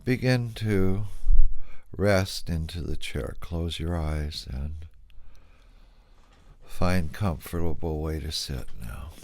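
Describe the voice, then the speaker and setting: A man leading a guided meditation, speaking calmly and gently, asking soft questions with long pauses. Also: rumbling low.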